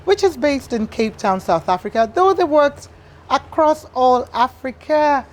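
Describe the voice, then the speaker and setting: A woman hosting an interview, whose first language is not English, asking quick, encouraging follow-up questions. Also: sits high, animated. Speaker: talk show host. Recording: faint background noise.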